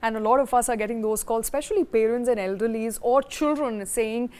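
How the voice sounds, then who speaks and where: News anchor, woman, Indian accent, fairly high voice, reads bulletins and leads panel discussion. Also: brisk, warm tone. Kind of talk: scripted news reading and studio discussion.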